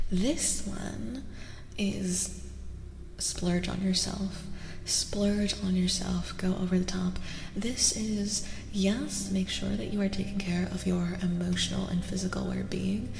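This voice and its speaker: Slow, soft-spoken woman with gravelly creak. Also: mid-range voice. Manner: partly whispered, drawn-out delivery; informal, encouraging. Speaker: ASMR streamer giving tarot readings. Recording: reverberant echo, background hiss.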